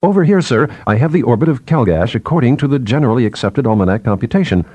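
A man's voice: medium pitch, lively intonation, fast talker, dry recording, dull audio, voice pushed up, natural voice. Man playing a nervous, deferential junior scientist. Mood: eager, deferential. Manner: chattering, hesitant.

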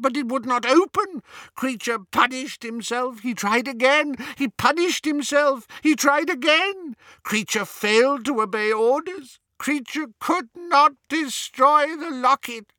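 High-pitched, sobbing old male house-elf. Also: sharp tone, dry recording, heavily acted. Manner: refers to self in third person, moaning. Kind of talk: audiobook character acting.

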